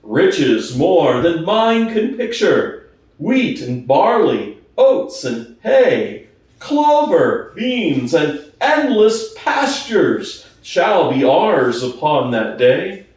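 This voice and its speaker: A man voicing an aged, hoarse boar who gives solemn, fervent revolutionary speeches in a storybook reading, slowly and emphatically. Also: on the high side, sing-song, a little mellow, full character voice. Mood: rousing, hopeful. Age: older. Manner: slow, emphatic oratory with weighty pauses. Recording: distant, very echoey room.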